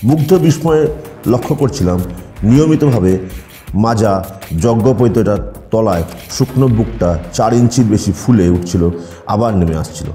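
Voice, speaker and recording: Male horror narrator, very dark mellow voice, echo, music underneath, dramatic storytelling. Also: fairly low pitch, expressive, moderate pace, long pauses.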